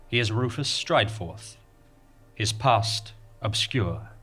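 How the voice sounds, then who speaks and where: A man's voice: fairly low pitch, animated, takes it slowly, fairly bright tone, husky, exaggerated voice. A man acting as the ominous, grandiose narrator of a melodramatic space western radio serial. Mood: ominous, grand. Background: music.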